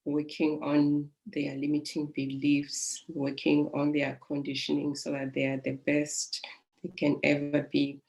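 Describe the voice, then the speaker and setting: South African woman, middle-aged, low and gravelly, flat even delivery, soothing and reflective. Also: poor audio. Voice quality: calm, soothing voice. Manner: reflective, unhurried, with soft laughs. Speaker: life coach. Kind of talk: personal storytelling.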